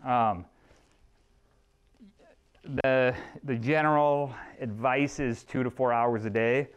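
Male, 30s, chiropractor presenting to a small group, smooth clear voice, relaxed and rambling. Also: medium pitch, slow pace. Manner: friendly, loose, many restarts and fillers. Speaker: chiropractor leading a workshop. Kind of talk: informal presentation with audience questions. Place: small clinic room with a seated audience. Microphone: room camera at a distance.

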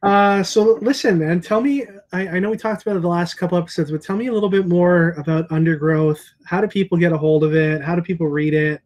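A man's voice: high voice, fast, hardly any pauses, darkish tone. A middle-aged man hosting a casual interview over a video call. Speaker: host. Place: indoor room at home or shop, on a video call.

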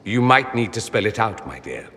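An actor playing a witty, teasing, urbane mage in a fantasy game, with an arch, dry, theatrical delivery. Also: male voice, on the low side, sing-song, moderate pace, sharp tone. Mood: sarcastic. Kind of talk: video game cutscene dialogue. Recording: long reverb, noisy ambience behind.

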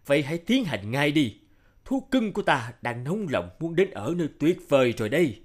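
A man's voice: on the high side, big pitch swings, brisk. A man playing a pompous, hot-tempered middle-aged king who speaks in a commanding manner in a cartoon dub. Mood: pleased, commanding.

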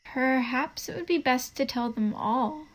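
A high, girlish voice from a woman actor for a prim 1950s teenage sweetheart in a comic dub. She is composed, gentle and reassuring, proper in every phrase. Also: measured pace. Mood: fond, encouraging.

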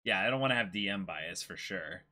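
A man's voice: low-pitched, expressive, a little fast, pushed and bright. A young man chatting casually as co-host of a livestream and reading out viewer comments. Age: young adult. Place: small indoor room, seated.

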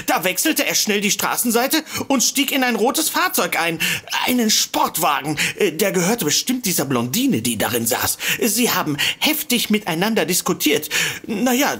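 Flustered, stammering secretary, slightly husky, animated. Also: female voice, medium pitch. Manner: nervous, stammering, rambling, giggly. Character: flustered, apologetic, fussy secretary seeking help. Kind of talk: comedic audio drama acting.

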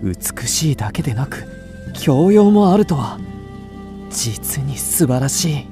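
An actor playing an earnest, lovestruck young widower of old Japan in a radio drama, speaking politely and formally. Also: male voice, mid-range voice, sing-song, takes it slowly, over music. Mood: delighted, inviting. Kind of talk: radio drama acting of a ghost tale.

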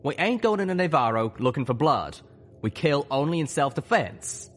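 An earnest pegasus stallion soldier, put on by a man for a storybook. He talks folksy and forceful, pitched up and loud. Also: very animated, normal speed.

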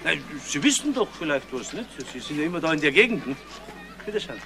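Lively Bavarian detective, folksy. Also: male voice, fairly high pitch, fairly quick. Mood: easygoing. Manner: easygoing, folksy. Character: laid-back, cheeky male police detective. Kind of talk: German radio crime drama acting.

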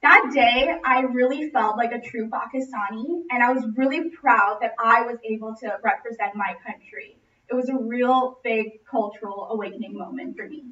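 A woman's voice: fairly high pitch, normal speed, few pauses, bright, forceful tone. A young woman from Los Angeles with an American accent gives a prepared narrative speech, projecting expressively to a classroom. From Los Angeles, United States. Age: young adult. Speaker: student speaker. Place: classroom, indoors. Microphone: distant camera microphone. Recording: roomy, distant, muffled, noisy camera audio.

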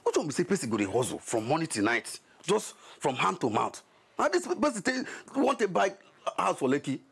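A Nigerian man acting in a Pidgin screen drama as a hard-up, frustrated young hustler, insistent and aggrieved, with a rough voice. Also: fairly high pitch, very animated, brisk, fairly bright tone, heavily acted. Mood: weary. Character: hard-up, frustrated young male hustler wanting his money.

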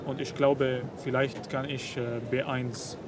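A young man from Syria, a native Circassian speaker, talking in a hesitant way with frequent pauses and fillers, outdoors in a city. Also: mid-range voice, flat delivery. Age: young adult. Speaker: refugee student. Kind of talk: casual conversation. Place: outdoors on a busy city street. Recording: noisy street background.

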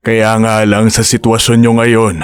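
An actor playing a wise older fisherman who counsels his son in a radio drama, gently scolding and fatherly. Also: lowish voice, monotone, unhurried, clear, resonant tone, dry recording, clean studio sound, natural voice. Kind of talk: broadcast radio drama acting.

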